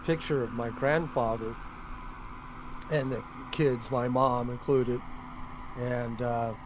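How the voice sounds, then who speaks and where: Man musing over loud engine drone. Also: mid-range voice, steady tone, slow, dull audio. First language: English. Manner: musing, thinking aloud. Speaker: flight simulator streamer. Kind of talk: casual rambling gameplay commentary. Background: flight simulator aircraft engine noise.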